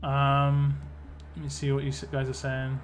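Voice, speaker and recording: A man's voice: medium pitch, monotone, measured pace, soft background music. A man casually chatting on a live stream, reading viewer comments aloud and answering them as he plays. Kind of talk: casual live stream chat while playing a mobile game.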